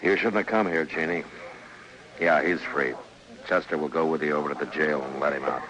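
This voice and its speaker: Real deep bright-voiced american western marshal, old radio drama, stern, dry, laconic. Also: male voice, steady tone, brisk, rough recording. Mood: subdued, weary. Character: stern, unflappable middle-aged frontier marshal, dryly sardonic.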